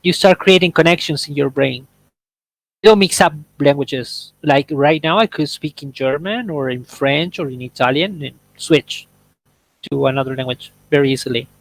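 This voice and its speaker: A young man whose first language is Spanish leads a relaxed English practice chat over a video call. His voice sits a little high for a man and sounds close and dry, with no room around it. He explains things eagerly and reaches for everyday comparisons. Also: normal speed, no echo, poor audio. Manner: eager explainer, builds points with everyday examples. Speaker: workshop leader. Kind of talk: casual conversation practice in English.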